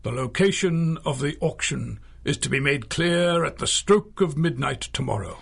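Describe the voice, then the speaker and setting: An actor playing an urbane old retired magician and crime fighter in a radio drama, with a theatrical, formal, dryly witty delivery. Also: male voice, on the high side, lively intonation, moderate pace, vocal fry, fairly bright tone, breathy edge, heavily acted. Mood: grave. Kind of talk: 1930s-style radio drama acting.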